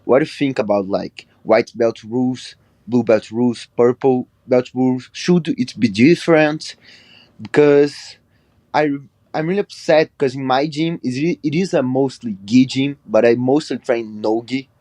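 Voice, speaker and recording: A man's voice: mid-range voice, a little mellow, dry recording. Young Brazilian man, hesitant English. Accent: Brazilian Portuguese. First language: Portuguese. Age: 20s. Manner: halting, apologetic non-native English. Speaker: caller. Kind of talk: recorded voice question.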